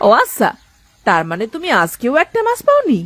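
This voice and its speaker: Woman acting a sharp-tongued, animated village wife. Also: high-pitched, a little fast, dry recording, full character voice. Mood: questioning. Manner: sarcastic, scolding. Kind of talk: Bengali moral-story cartoon dubbing.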